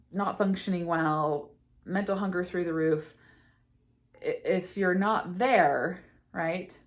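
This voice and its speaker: A woman recovery coach talking casually to camera, her voice slightly hoarse as she loses it, earnest and full of fillers and restarts. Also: fairly low pitch. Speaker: eating disorder recovery coach.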